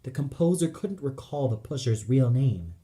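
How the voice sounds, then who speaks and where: A man's voice: medium pitch, big pitch swings, moderate pace, warm tone. A man narrating an audio play in a dramatic scripted style, solemn and theatrical.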